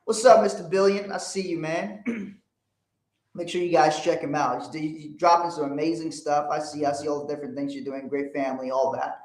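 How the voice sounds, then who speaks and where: A man's voice: on the high side, little pitch movement, brisk, reverberant, rough recording. A man from Liberia, hosting a motivational livestream in a blunt, profane, emphatic way. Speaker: host.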